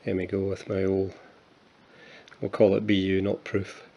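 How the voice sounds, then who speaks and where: A man's voice: low-pitched, completely flat delivery, normal speed, warm tone, muffled recording, a little hiss or hum. A Scottish man in his fifties, chatting casually and with self-mocking humour while showing his coin collection, with plenty of fillers and restarts. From Scotland. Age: 50s. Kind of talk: casual show-and-tell vlog.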